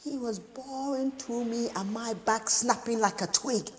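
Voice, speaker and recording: A woman's voice: a little high, in a large hall, slight background noise, close to an everyday voice. A woman playing an anguished mother, haunted. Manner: slow, haunted, halting confessional monologue. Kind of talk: stage monologue.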